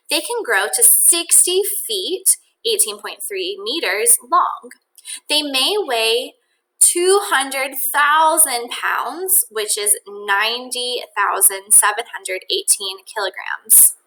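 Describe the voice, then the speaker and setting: A woman teacher reading a children's nonfiction book aloud in an expressive, deliberate way, with think-aloud asides addressed to children. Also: on the high side, a little hiss or hum, rough recording.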